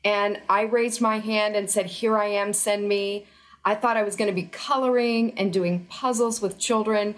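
A woman's voice: medium pitch, steady tone, moderate pace. Earnest, emphatic woman guest. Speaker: interview guest, former federal employee. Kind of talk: remote news interview.

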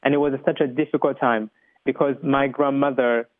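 A man's voice: medium pitch, steady tone, normal speed, no room sound. Rwandan man on a thin phone-like line. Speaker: interview guest. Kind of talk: personal testimony in an interview.

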